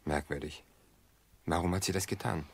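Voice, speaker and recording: An actor playing a protective brother-in-law in a radio drama, indignant, assertive and argumentative. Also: male voice, sits low, fairly even intonation, normal speed, creaky, slightly rough, exaggerated voice.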